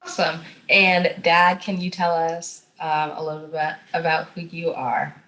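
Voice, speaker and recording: A young woman co-hosting a podcast, reading a brisk introduction. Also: deep voice, flat delivery, pushed and bright, rough recording. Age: young adult. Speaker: podcast co-host.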